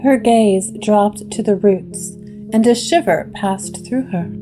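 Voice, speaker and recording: A woman narrates a gothic audio drama at an unhurried, deliberate pace, her voice sitting in a middle register. Plucked guitar music plays clearly behind her. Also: mid-range voice, dark tone, rough recording. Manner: slow, measured storytelling.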